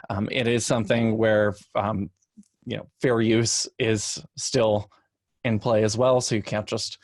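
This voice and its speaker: A man on a panel giving casual, measured explanations with careful qualifications. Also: on the low side, little pitch movement, no echo. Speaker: podcast panelist.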